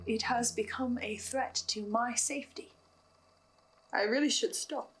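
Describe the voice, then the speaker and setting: A woman delivering a scripted, dramatic video log monologue with ominous pauses for effect. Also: fairly high pitch. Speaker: host of a listener's video log. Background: music behind the voice.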